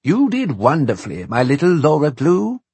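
A narrator voicing a regal, kindly man who speaks gently and affectionately, as an uncle in a storybook. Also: medium pitch, huge pitch swings, moderate pace, husky, dry recording, pitched above the performer's own voice, full character voice.

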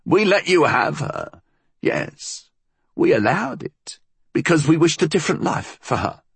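Man putting on a high, hissing, vicious old woman. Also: very animated, dry recording, exaggerated voice. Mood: bitter, scornful. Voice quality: hissing, husky. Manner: slow, cunning, menacing, formal. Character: frail, vicious, calculating old blind woman.

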